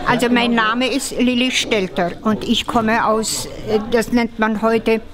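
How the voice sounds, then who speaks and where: An elderly woman in her nineties, originally from Moldova, talks with a Moldovan accent. She tells her story animatedly and pokes fun at herself. Her voice sits slightly high, recorded up close in a big church hall. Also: measured pace, over a noisy crowd. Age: 90s. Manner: animated, self-mocking storyteller. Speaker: interviewee. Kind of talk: casual storytelling. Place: large church hall indoors.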